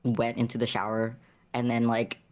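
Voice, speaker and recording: A woman with a strikingly deep voice. She narrates roleplay casually, stopping and repeating herself as she works out each action. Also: flat delivery, normal speed, darkish tone. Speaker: tabletop RPG player.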